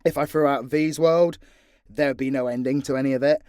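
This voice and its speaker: A man's voice: mid-range voice, steady tone, a little fast, darkish tone, smooth, dry recording, clean studio sound. A British man in a casual, unscripted Q&A as an audio creator, talking bluntly and swearing freely. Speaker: audio drama creator answering fan questions.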